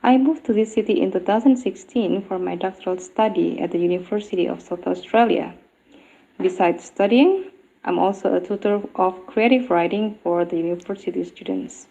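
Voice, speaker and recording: Woman from Indonesia with a gravelly, dark voice. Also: medium pitch. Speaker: tutor introducing herself. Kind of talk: read self-introduction. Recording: dull, lo-fi recording.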